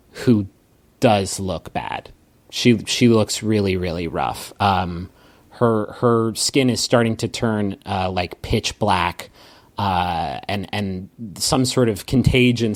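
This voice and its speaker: A man narrating a tabletop game as game master, halting and dramatic, improvising as he goes with pauses. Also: on the low side. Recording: music under the voice. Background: background music.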